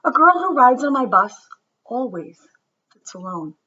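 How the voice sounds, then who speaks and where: A woman reading a picture book aloud as a storyteller for children, with expressive narration and deliberate, dramatic phrasing that drops into hushed softness. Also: high-pitched, lo-fi sound.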